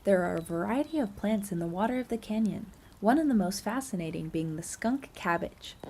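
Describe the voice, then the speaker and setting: Mellow-voiced woman narrating, noisy recording. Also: mid-range voice, lively intonation, a little fast. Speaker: student narrator of an audio nature tour. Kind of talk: scripted narration.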